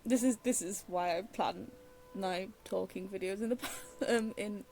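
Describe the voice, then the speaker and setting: A woman's voice: medium pitch, normal speed, darkish tone, a little hiss or hum, poor audio. A woman streaming a video game with casual, rambling commentary, giggly and often interrupting herself. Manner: giggly, self-interrupting, meandering.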